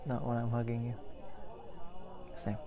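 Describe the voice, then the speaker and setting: A young man playing a caring boyfriend in an ASMR roleplay, soft-spoken, hesitant and reassuring. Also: mid-range voice, monotone, a little slow, a loud crowd, natural voice. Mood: affectionate. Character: caring, gentle young boyfriend comforting his partner. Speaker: roleplay voice performer. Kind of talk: ASMR boyfriend roleplay, intimate casual talk. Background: party and night ambience.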